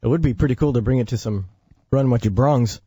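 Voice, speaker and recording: A man's voice: mid-range voice, fast talker, dark tone. A man acting as a radio sidekick, dropping casual, joking asides into the talk. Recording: clear background noise, clicks.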